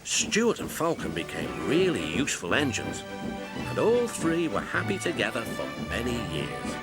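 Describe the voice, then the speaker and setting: A man's voice: mid-range voice, wildly swooping pitch, normal speed. A man narrating a scripted children's story as a storyteller. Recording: music behind the voice.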